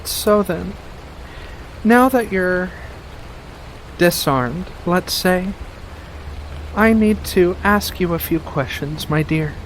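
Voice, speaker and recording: A man voicing a playful, coy female witch with faint menace in a soft-spoken ASMR roleplay, speaking in a coaxing, deliberate way with pauses. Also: sits high, lively intonation, slight background noise, voice pushed up, rough recording. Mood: teasing, reassuring.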